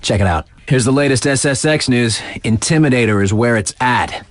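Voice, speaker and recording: A man's voice: medium pitch, normal speed, dry recording, close to an everyday voice. An actor playing a laid-back, hip male radio DJ in a video game, delivering scripted snowboard news with casual, slangy asides. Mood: upbeat hype. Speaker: radio DJ announcer.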